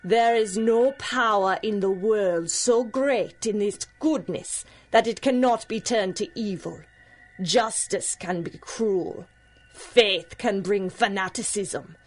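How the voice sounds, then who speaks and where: A woman playing an ageless, proud and teasing voodoo priestess in a radio drama, speaking in a formal, knowing and measured way. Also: medium pitch. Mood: solemn, proud. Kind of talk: 1930s-style radio drama acting.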